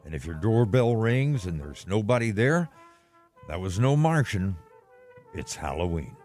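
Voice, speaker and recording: A man giving a scripted, old-time radio sign-off, addressing listeners in measured, theatrical phrases. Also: lowish voice, very animated. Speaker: lead actor giving sign-off out of character. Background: faint music.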